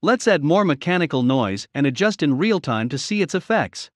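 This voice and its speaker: A man narrating a step-by-step software tutorial in a plain, measured instructional manner. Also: fairly high pitch, big pitch swings, no echo.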